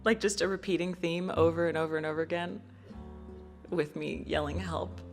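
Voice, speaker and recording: A woman's voice: fairly low pitch, fairly even intonation, normal speed, vocal fry, faint music behind. A woman giving casual, conversational answers as an interview guest. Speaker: interview guest, opera singer.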